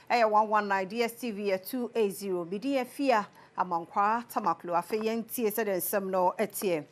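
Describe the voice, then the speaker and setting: A woman with a Ghanaian accent presenting scripted television news. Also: a little high.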